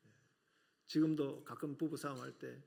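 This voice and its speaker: A man's voice: medium pitch, steady tone, normal speed, vocal fry, darkish tone. A middle-aged man with a regional Korean accent preaching a sermon to a congregation, earnest, with rhetorical questions. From South Korea, outside Seoul. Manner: earnest, rhetorical questions, frequent filler "yes". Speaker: preacher, seminary professor.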